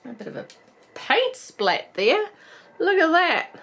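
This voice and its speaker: A woman in her thirties with a New Zealand accent, croaky from a cold, chatting bubbly through a casual doll unboxing review. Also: extremely high pitch, sing-song, takes it slowly, fairly bright tone. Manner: chatty, bubbly, laughs often. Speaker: doll collector vlogger.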